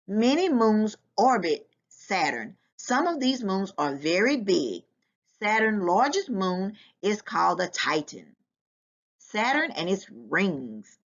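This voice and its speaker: A woman reading a nonfiction book aloud to children in a deliberate, instructive way. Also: medium pitch, very animated, fairly bright tone. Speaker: volunteer children's book reader. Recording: dull, lo-fi sound.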